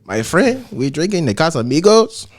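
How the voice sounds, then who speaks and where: A man in casual podcast banter, relaxed and joking. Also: on the high side, moderate pace. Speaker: podcast co-host. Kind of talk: casual chat.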